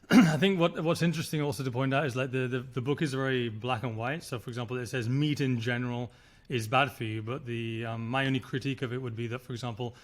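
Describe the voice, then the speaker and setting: A man's voice: medium pitch, fairly even intonation, quick pace. A man, a resort founder telling his story in a rambling, casual way. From Spain. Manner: rambling, many restarts and filler words. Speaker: resort founder, podcast guest.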